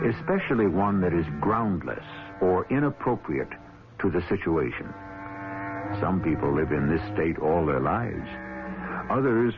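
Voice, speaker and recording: A man hosting a radio drama series, delivering scripted, dramatic narration in a solemn, deliberate, philosophical manner. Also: deep voice. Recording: tinny old radio broadcast, music behind.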